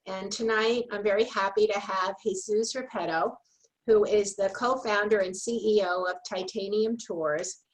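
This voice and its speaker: A woman's voice: medium pitch, steady tone, moderate pace, low-quality recording. Gushing woman hosting a video call. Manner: effusive, gushing with superlatives. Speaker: host of an online wine tasting series. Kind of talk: casual introduction on a video call.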